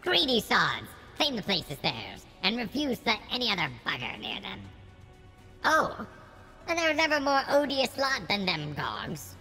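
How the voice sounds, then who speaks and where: A man voicing a gruff old male dwarf mining chief with a folksy brogue, saying aye and lad. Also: sits high, huge pitch swings, normal speed, sharp tone, in a large hall, faint music behind, voice pushed up, heavily acted. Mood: grumbling.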